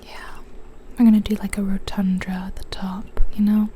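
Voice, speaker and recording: A young woman whispering softly as she plays a building game, a little sniffly from a cold, musing to herself. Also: medium pitch, level tone, normal speed, a little hiss or hum. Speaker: ASMR gaming streamer. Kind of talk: whispered ASMR gameplay commentary.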